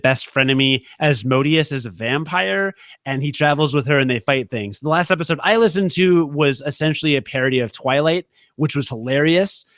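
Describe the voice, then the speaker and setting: A man's voice: a little high, a bit bright, no room sound, studio quality. A man co-hosting a casual podcast, rambling with many restarts and fillers as he recommends things. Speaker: podcast co-host.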